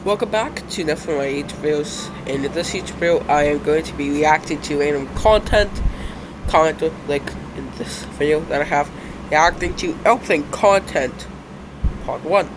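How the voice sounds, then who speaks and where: A young man with a stuffed-up, sick-sounding voice, rambling casually as he reacts to videos at his computer. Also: on the high side. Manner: rambling, halting, uneven loudness. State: stuffed up from a cold. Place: indoors at a computer. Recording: noisy home recording.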